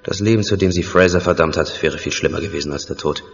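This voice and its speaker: Somber, deep, quick-spoken hero. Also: male voice, gravelly. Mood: somber, relieved. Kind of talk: German horror radio play acting. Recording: dull, reverberant, music behind.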